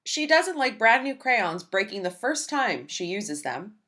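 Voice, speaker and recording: A woman reading a children's picture book aloud in a gentle, expressive storytelling manner. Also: medium pitch, a little slow.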